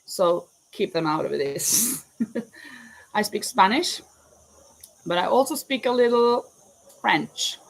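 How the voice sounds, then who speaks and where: A woman's voice: mid-range voice, expressive, slow, creaky, long pauses, low-quality recording. A woman with a Norwegian accent chatting casually and rambling a little while crocheting live, answering viewers' questions. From Norway. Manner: chatty, rambling, self-correcting. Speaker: crochet vlogger and teacher. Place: home in Spain, crickets outside.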